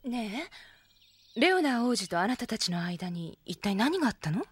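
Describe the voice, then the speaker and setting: A woman playing a curious, perceptive young adventurer with a breathy voice in an anime audio drama. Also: on the high side, sing-song, normal speed, dry recording. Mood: inquisitive.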